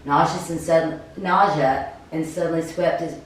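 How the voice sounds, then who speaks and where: A woman with a low voice reads game narration aloud as she plays, sometimes tripping over the words. She sounds far from the mic in a very echoey room. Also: fairly even intonation, moderate pace, over a noisy crowd. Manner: stumbles over words while reading. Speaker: player reading a story game aloud. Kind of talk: cold read of game narration with casual commentary. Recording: very echoey room, distant mic, faint noise.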